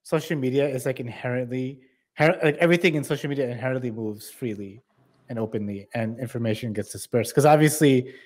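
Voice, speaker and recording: A man's voice: mid-range voice, a little fast, a little mellow. A man co-hosting a talk show on a video call, adding casual commentary.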